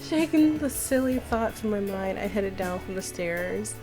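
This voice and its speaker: A woman's voice: mid-range voice, expressive, a little slow. A woman in her thirties reading a visual novel aloud and adding casual commentary as a game streamer. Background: game music and Japanese game voices.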